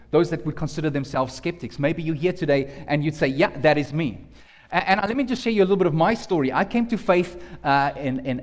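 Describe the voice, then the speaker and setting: A man preaching a sermon as pastor, earnest, often restarting and repeating words. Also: fairly high pitch. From South Africa. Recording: long hall reverb.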